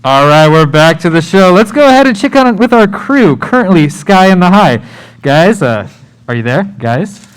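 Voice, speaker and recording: A man's voice: high-pitched, sing-song, moderate pace, clean tone. A man playing a breezy, oblivious radio show host, chatty and casual, in a live comedy radio play.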